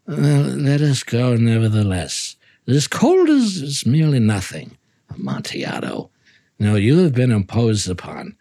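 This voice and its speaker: A man's voice: medium pitch, huge pitch swings, a little slow, dark tone, heavily acted. A man voicing a proud, scornful, drunken Italian wine connoisseur in a dramatic reading, blurting short exclamations and questions. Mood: scornful, insistent.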